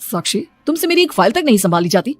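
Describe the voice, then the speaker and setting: A woman's voice: a little high, very animated, quick pace. Woman playing an irritable, exasperated young husband. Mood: irritated. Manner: exasperated, sarcastic. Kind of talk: dramatized cartoon moral story.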